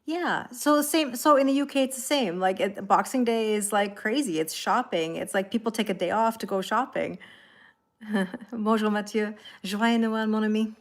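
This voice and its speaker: A woman's voice: mid-range voice, moderate pace. A Canadian woman, probably in her thirties, hosting a tarot live stream and chatting casually with viewers, greeting them by name and laughing often.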